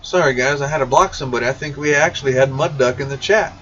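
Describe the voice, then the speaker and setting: A man's voice: medium pitch, quick pace, a bit bright, husky. A man hosting a casual livestream Q&A, talking bluntly and informally and addressing viewers directly. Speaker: CB radio shop livestream host. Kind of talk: casual livestream Q&A, reading viewer questions aloud. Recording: dull, distant mic, faint background noise.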